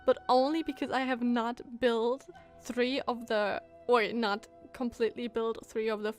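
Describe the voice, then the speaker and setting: A woman streaming a game, giving casual, reactive commentary and thinking out loud. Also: on the high side, level tone, unhurried. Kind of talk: casual live gameplay commentary. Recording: game music behind the voice.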